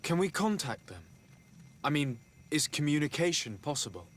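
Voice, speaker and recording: An actor playing a polite, earnest young envoy in a fantasy video game, with a measured, questioning manner. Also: male voice, on the high side, sing-song, fairly bright tone, slight background noise. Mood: inquiring. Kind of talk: video game character acting.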